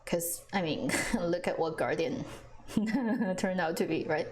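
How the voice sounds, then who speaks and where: A woman's voice: on the low side, takes it slowly. A woman whose first language is Chinese, chatting casually on a live stream and answering viewers. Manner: chatty, frequent restarts, laughs often.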